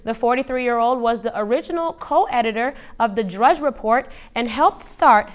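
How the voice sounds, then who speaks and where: Woman anchor, high voice, steady measured news read. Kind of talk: scripted news reading.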